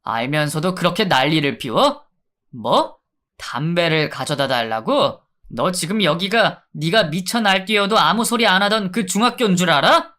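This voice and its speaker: A man's voice: high-pitched, expressive, moderate pace, pushed and bright. A man voicing a stern male prison guard who gives curt, cold orders.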